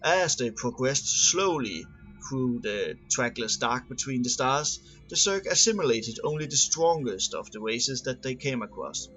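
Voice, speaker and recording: A man reading scripted science fiction lore aloud as an amateur narrator, a little halting on long words. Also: mid-range voice, animated, fairly bright tone, soft background music, rough recording.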